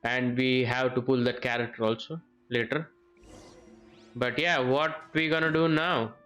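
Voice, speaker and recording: A man's voice: mid-range voice, fairly even intonation, a little slow, a bit bright, highs cut off. A man doing casual live game commentary as a streamer, laid-back, with short muttered reactions. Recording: game music behind.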